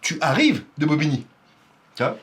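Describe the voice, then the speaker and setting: Animated teasing man reacting live. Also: a little high, normal speed, slight background noise. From Paris, France. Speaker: streamer reacting to a rap battle.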